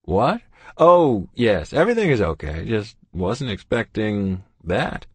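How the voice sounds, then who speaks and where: A man voicing a kindly, cheerful older male doctor who speaks gently and slowly. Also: lowish voice, big pitch swings, dry recording, heavily acted. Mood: hesitant. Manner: gentle, unhurried, pleased.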